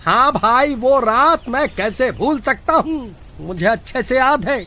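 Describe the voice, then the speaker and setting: A man plays an excitable cartoon villager with a very high, sharp voice. He is anxious and fretful, exclaiming as one who is easily alarmed. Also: unhurried, exaggerated voice. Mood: anxious, urgent. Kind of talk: Hindi horror cartoon voice acting.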